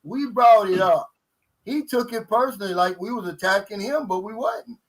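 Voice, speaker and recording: A middle-aged man, hosting a casual live stream in a relaxed, folksy way and reading viewer messages aloud. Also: high-pitched, moderate pace, low-quality recording. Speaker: host.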